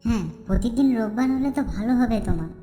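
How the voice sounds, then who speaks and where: A woman's voice: fairly high pitch, expressive, quick pace, mellow, husky, faint music behind. A woman voicing a worried village mother in a cartoon, exasperated and scolding. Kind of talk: cartoon voice acting.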